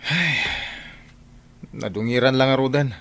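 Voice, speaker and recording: A man's voice: medium pitch, slow, fairly bright tone. A man with a Filipino accent playing a put-upon husband and jeepney driver in a radio drama, with hesitant, mumbling delivery. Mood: weary, resigned. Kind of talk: Ilocano radio drama acting. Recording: clear background noise, vehicle sounds.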